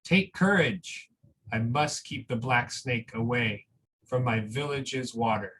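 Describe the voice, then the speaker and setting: A man's voice: mid-range voice, slow, fairly bright tone, far from the mic, a little hiss or hum, low-quality recording. Man reading as solemn young storybook girl narrator. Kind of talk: picture book read-aloud.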